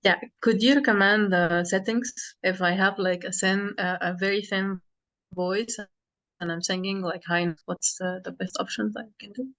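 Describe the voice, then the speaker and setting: A woman student asking a casual question in a class, piecing it together haltingly. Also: medium pitch, fairly even intonation, a bit bright, dry recording, rough recording.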